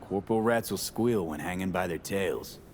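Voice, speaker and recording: A tough young video game mercenary. The voice sits fairly low and comes in terse, cool fragments, stubborn and unfussy, over a faint rumble. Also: male voice, moderate pace. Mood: dry, determined. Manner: terse, drops subjects, matter-of-fact. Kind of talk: video game dialogue acting.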